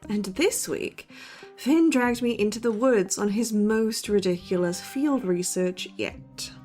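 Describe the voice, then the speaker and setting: A woman's voice: mid-range voice, animated, slow pace, mellow, soft background music. A woman playing a skeptical young college student and podcast co-host in an audio drama, dry and sardonic in manner. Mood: wry, teasing. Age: young adult.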